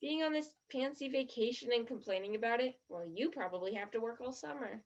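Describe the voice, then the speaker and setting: A woman's voice: on the high side, fairly quick, lo-fi sound, lightly acted. An actress in a stage play playing a disgruntled, sarcastic young tourist who complains in exasperation about her trip. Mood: confiding.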